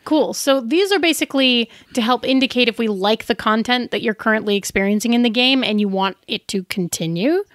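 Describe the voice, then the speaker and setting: A woman's voice: a little high, fairly quick, few pauses, a bit bright, no room sound, studio quality. A woman co-hosting a podcast, explaining things in a casual, conversational way. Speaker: podcast co-host. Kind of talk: casual chat about tabletop game advice.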